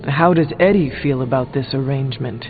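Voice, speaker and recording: An actor playing a solemn, stoic demon-born warrior hero in a video game, with formal, old-fashioned phrasing. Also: male voice, medium pitch, brisk, narrow, tinny audio, lightly acted. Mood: inquiring. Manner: formal, archaic phrasing without contractions. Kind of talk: video game dialogue acting. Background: background music.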